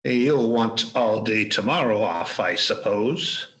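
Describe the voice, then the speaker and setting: A man's voice: fairly low pitch, animated, slow, a bit bright, rough recording. A man playing a miserly, grumpy old man, curt and scornful, in an amateur radio play over a video call. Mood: grumbling.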